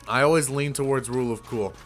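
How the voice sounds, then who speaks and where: A young man streamer chatting casually, rambling with fillers and casual swearing, with a fairly deep voice. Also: fast talker, faint music behind. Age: young adult. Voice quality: somewhat deep voice. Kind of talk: casual live-stream chat.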